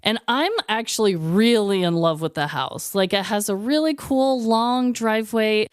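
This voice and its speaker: A woman's voice: mid-range voice, normal speed, clean tone, no echo, studio quality. A woman in her thirties co-hosting a podcast, chatting candidly with a lot of "like" and the odd swear word. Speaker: podcast co-host. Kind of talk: casual chat.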